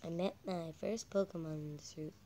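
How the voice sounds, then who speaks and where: A woman doing casual, halting game commentary as a channel host, her voice croaky from a cold. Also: fairly low pitch, steady tone, dark tone, a little hiss or hum. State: croaky with a cold, coughing and throat-clearing.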